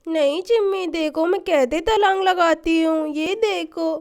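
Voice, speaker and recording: A woman's voice: very high voice, a little slow. A woman voicing a mischievous little schoolgirl in a children's story, whiny and pleading with a babyish lisp. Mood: boastful.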